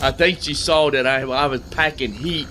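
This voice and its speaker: A man's voice: medium pitch, fairly even intonation, moderate pace, bright, forceful tone, a little hiss or hum. A man hosting a gaming video with casual commentary, thinking aloud and repeating himself. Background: game music.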